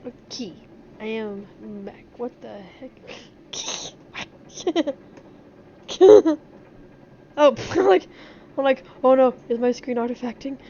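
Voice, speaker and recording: A woman's voice: high voice, expressive, slow pace, pauses often, warm tone, muffled recording, slight background noise. A woman live-streaming a game with casual, giggly, playful chat and exclamations. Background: game music.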